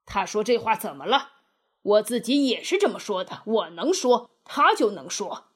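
A woman voicing a gruff, stubborn male badger from a storybook, husky, firm and scolding. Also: medium pitch, lively intonation, normal speed, voice pushed down. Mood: indignant.

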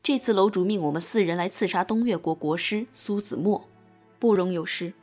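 A woman acting a dutiful, calculating young assassin with a formal, measured manner in a Chinese costume audio drama. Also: fairly low pitch, a little mellow, faint music behind, close to an everyday voice. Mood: serious.

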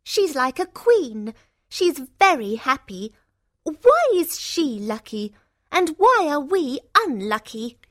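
Envious young fairy-tale sister, acted by a woman: high voice, dramatic, forever complaining. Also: little pitch movement, slow pace, a bit bright. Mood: jealous, angry.

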